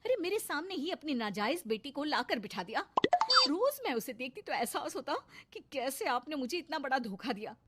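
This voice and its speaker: A woman's voice: sits high, very animated, moderate pace, very noisy, pitched above the performer's own voice. A woman voicing a bitter, resentful middle-aged stepmother who taunts and complains, in a cartoon family drama. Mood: outraged.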